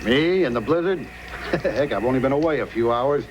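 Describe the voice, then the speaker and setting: A man acting a sly, ruthless frontier gang boss in a mid-pitched voice. He gives orders in the manner of an old radio serial villain. The recording is full of noise, with a long reverb. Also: animated, normal speed, lightly acted. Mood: falsely casual. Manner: bossy, sly.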